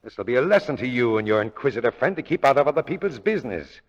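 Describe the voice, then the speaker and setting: A cruel, gloating gangster with a husky edge to his voice. He taunts in tough-guy fashion, in the manner of 1930s radio crime drama, inside a long hollow reverb. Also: medium pitch, big pitch swings, quick pace, lo-fi sound. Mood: gloating menace. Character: sneering gangster villain, cruel, gloating, cornered. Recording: old radio, husky echoing tunnel reverb.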